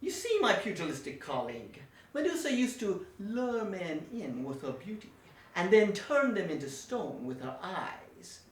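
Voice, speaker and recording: A woman's voice: medium pitch, very animated, a little slow, distant mic. A woman voicing a sly male villain professor who runs a wax museum, suave and theatrical with sudden menace. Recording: roomy echo, voice set back from the mic.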